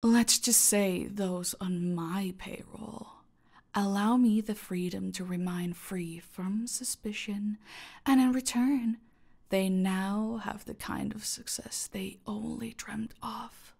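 An actress playing a menacing, silky, aristocratic cartel boss in a roleplay audio drama, condescending and deliberate, often sinking toward a whisper. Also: female voice, mid-range voice, steady tone, creaky, a little mellow. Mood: gloating.

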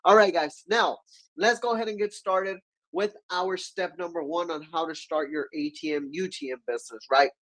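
Young guy w a stuffy cold doing a livestream, kinda high voice, lots of energy. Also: moderate pace, poor audio. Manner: energetic, gestures with both hands, keeps asking viewers to comment. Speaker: livestream host. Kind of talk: business livestream teaching. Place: small dark-walled home studio.

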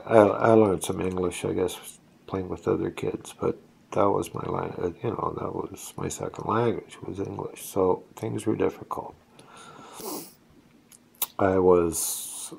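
Gravelly, low-voiced older man reminiscing. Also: a little mellow. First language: Estonian. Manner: rambling, reflective, with restarts. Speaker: vlogger. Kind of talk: personal storytelling. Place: indoors, seated on a couch at home. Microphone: phone camera held close.

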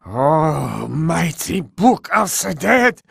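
An actor voicing a desperate, fanatical video game villain, grandiose and tyrannical, in a strained, raspy, creaking voice. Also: male voice, on the high side, very animated, extremely slow, dry recording, clean studio sound, exaggerated voice. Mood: pleading. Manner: grandiose, melodramatic. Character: desperate, fanatical, tyrannical male villain pleading with dark powers. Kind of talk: video game cutscene acting.

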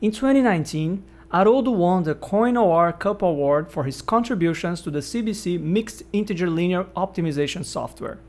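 A middle-aged man with a fairly high voice hosts a podcast, speaking in a measured, friendly way. He talks over a video call from his home office. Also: expressive, darkish tone. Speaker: podcast host. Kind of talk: interview host, reading the intro.

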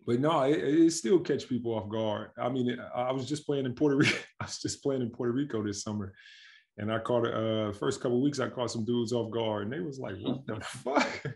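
A man in his thirties from Milwaukee, a pro basketball player, telling stories in a relaxed, slangy way on a video call from home. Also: medium pitch, a little fast, vocal fry. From Milwaukee, Wisconsin, USA. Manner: relaxed, slangy, animated storytelling with frequent laughs. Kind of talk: casual interview chat. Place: home with staircase behind, indoors. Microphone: video call webcam.

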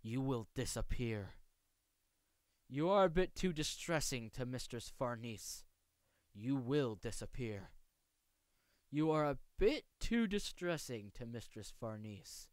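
A man voicing a polite, aloof young swordsman from a manga, with formal, measured, precise speech. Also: medium pitch, big pitch swings, no room sound. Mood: cool, composed. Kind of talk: amateur voice acting showcase of manga lines.

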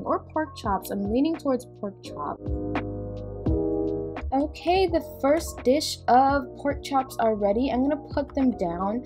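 A woman hosting a voiced game roleplay, chatty and often scolding as a mother. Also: on the high side. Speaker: roleplay host playing a mother.

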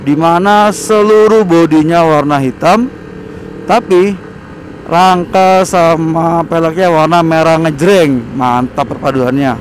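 Excited young rider over loud traffic. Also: male voice, fairly high pitch, slow, poor audio. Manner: chatty, excited, slangy. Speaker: motovlogger. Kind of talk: casual riding vlog chat. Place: on a motorbike on city streets, outdoors. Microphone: helmet-mounted action camera. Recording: loud wind and traffic noise.

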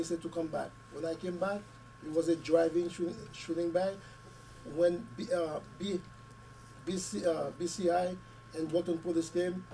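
Middle-aged man, hoarse from a cold, earnest podium speech, short paused phrases, heard from a distance. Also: fairly high pitch, dark tone. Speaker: school committee member. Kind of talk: community meeting speech. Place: community meeting room. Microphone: podium mic, camera at a distance. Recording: distant mic with faint room noise.

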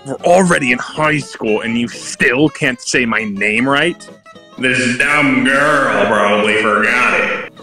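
Man as a bright-voiced, grumpy anime schoolboy. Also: mid-range voice, takes it slowly, echoey room, faint music behind. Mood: exasperated. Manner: exasperated, hesitant. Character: grumpy, flustered high-school anime boy. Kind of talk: anime fan comic dub.

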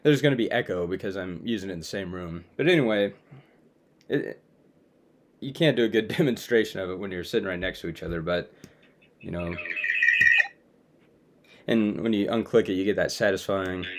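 A man's voice: sits low, normal speed, long pauses. A young adult man giving a casual, conversational demo to viewers as a video creator. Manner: informal, conversational. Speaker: video creator presenting his own web app. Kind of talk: casual screen-share demo, talking to viewers. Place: small room at home, indoors.

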